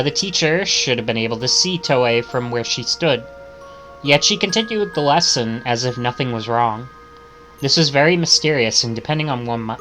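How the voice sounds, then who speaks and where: A man's voice: medium pitch, sharp tone. A man reading visual novel text aloud as a streamer, stumbling and correcting himself, with casual asides.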